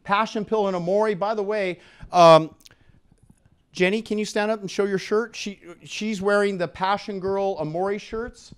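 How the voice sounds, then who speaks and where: Middle-aged male seminar speaker, slightly high voice, animated sales pitch to a room, handheld mic. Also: unhurried, clear, resonant tone. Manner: animated, engages audience with questions and prompts. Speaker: expo speaker and product promoter. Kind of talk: promotional seminar talk to a live audience. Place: indoor expo conference room with seated audience. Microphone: handheld microphone.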